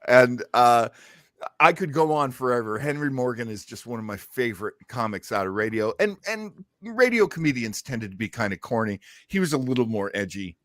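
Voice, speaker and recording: A man's voice: mid-range voice, animated, moderate pace, fairly bright tone, no echo, studio quality. A man hosting a casual podcast about old-time radio, chatting and commenting with wisecracking banter. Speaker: podcast host.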